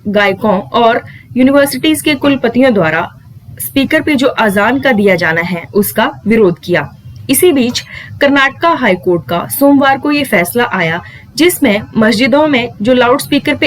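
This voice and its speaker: A woman's voice: on the high side, slight background noise, low-quality recording. A woman explaining legal news to viewers in a didactic way, mixing English terms into her speech. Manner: didactic, poses questions and then answers them. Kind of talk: explanatory lesson addressed to viewers.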